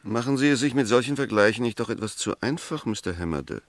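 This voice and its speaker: A man's voice: medium pitch, animated, quick pace, dry recording, natural voice. A man acting in a 1960s German radio play as a firm English defence lawyer, arguing formally, methodically and with emphasis. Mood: corrective, reasoned.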